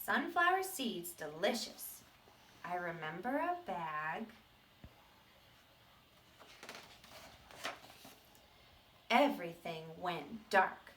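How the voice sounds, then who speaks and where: Storybook role: naughty little boy seed, played by a woman, bright and swooping, dramatic confessions with stretched-out words. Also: fairly low pitch, big pitch swings, a little hiss or hum, voice pushed down, full character voice. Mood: dramatic, fearful. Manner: dramatic, emphatic delivery with drawn-out words. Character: mischievous, self-declared bad little sunflower seed, male, confessional. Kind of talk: animated children's storybook read-aloud. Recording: roomy echo, distant microphone.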